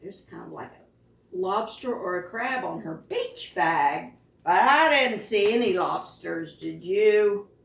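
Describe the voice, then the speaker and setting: A woman reading picture books aloud to preschoolers as a storytime host, with expressive, deliberate phrasing and questions to the children. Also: mid-range voice, gravelly. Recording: roomy, echoing, distant microphone.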